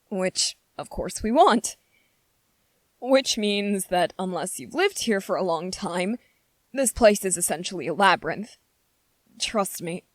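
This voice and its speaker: A gentle young prince played by a woman speaks slowly and haltingly, choosing courteous, formal words with an anxious care. The fantasy ASMR roleplay is recorded close and dry, with no room around the voice. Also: fairly low pitch, dry recording. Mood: earnest. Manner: hesitant, formal, polite, halting phrasing. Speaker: voice actor in audio roleplay. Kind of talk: ASMR character roleplay, scripted fantasy monologue.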